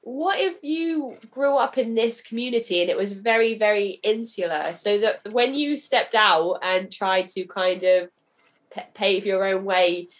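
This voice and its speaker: A woman's voice: mid-range voice, a little slow. A woman hosting a podcast interview in a casual, chatty and inquisitive way.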